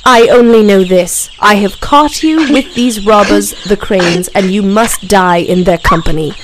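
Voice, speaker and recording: Lady putting on a grumpy farmer, deeper voice, noisy bg. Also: measured pace, close to an everyday voice. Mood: stern. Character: angry stern male farmer.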